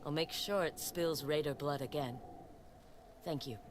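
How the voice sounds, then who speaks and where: A determined young warrior woman from a video game talks in short, dry, resolute phrases. Her wry delivery sits over clearly noisy game ambience. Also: deep voice, measured pace. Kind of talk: video game character acting.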